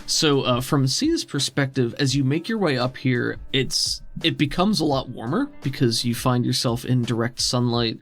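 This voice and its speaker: A man's voice: mid-range voice, lively intonation, normal speed, few pauses, fairly bright tone. A man narrating a tabletop role-playing game as game master, describing things in a conversational, improvised way. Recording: music under the voice. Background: background music.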